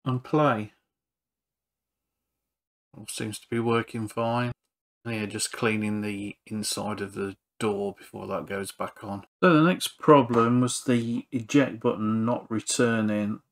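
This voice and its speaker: Man, slightly low voice, very slow pace, matter-of-fact, repair tutorial narration. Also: steady tone. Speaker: repair channel presenter. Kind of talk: hands-on repair walkthrough narration.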